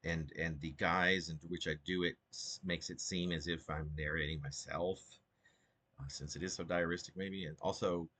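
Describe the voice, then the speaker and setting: A man with a husky voice speaking as a poet about and from his own work, in an informal, halting and digressive way. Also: sits low, steady tone, sharp tone. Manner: halting, digressive, self-interrupting. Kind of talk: rambling informal commentary and poetry reading.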